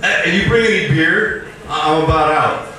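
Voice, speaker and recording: A man's voice: a little high, a little slow, pushed and bright, a little hiss or hum. A man voicing a bulky, dim, happy-go-lucky slacker in a live story reading, with a slack, oblivious manner. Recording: echoey stage recording from far off.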